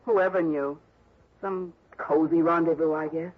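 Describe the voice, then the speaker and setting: A woman's voice: sits low, steady tone, measured pace. An actress playing a blunt, slangy widow who does her own repairs, in a 1960s radio drama. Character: brash widow, handy and mercenary. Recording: dull old radio broadcast.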